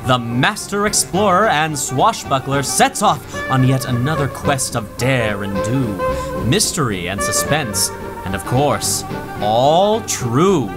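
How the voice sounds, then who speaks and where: A showy, cheery radio announcer from the golden age of radio, his voice swooping with lively pitch. Clear music plays under him. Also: slow. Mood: enthusiastic. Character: cheery male old-time radio announcer. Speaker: show announcer. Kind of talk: 1930s-style radio announcing.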